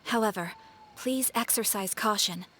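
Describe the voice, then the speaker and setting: A woman voicing a calm, courteous guild receptionist in a video game, speaking in a formal, measured way. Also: medium pitch, a crowd in the background, natural voice. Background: faint game music.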